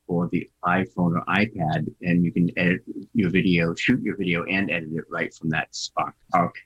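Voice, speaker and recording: A man's voice: deep voice, flat delivery, moderate pace, a bit bright, slightly rough, muffled recording. A man with a Boston accent, a guest chatting casually in an interview, talkative and self-deprecating, laughing often. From Boston area.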